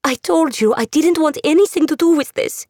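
A woman's voice: high-pitched, brisk, darkish tone, dry recording, studio quality, pitched above the performer's own voice, lightly acted. A woman voicing a frightened, wary young woman who keeps asking anxious questions. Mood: fearful.

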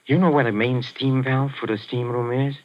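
A man playing a soft, sinister maestro. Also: medium pitch, fairly even intonation, close to an everyday voice. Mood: menacing. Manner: soft, insinuating, slowly building to gloating menace. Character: sinister soft-spoken male maestro plotting a murder. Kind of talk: 1940s radio acting. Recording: muffled 1940s radio recording with music.

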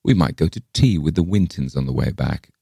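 A man voicing a brisk, practical woman visitor in a storybook reading, cheerful, insistent and coaxing in a matter-of-fact way. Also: extremely low pitch, lively intonation, creaky, warm tone, slightly rough, no room sound, studio quality, heavily acted.

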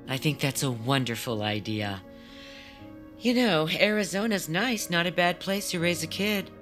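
A woman's voice: deep voice, lively intonation, measured pace, a bit bright, faint music behind. An actress playing a plain-spoken fortune teller woman with dry humor in a naturalistic audio drama. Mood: wry, warm.

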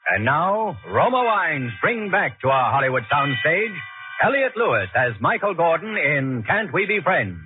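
A man's voice: a little high, sing-song, normal speed. A male radio announcer reading scripted copy with polished, emphatic 1940s salesmanship. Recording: roughened, muffled 1940s radio broadcast, faint music.

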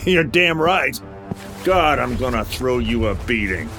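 A man playing a big, menacing, foul-mouthed enforcer in an audio drama, with a deliberate, taunting and threatening delivery. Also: medium pitch, animated, over music. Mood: furious disbelief.

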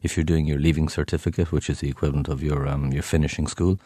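Fast-talking deep Irish man, dry sound. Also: flat delivery, warm tone, no room sound. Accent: Kerry Irish. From Ireland. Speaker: guest, bar owner. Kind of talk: radio interview.

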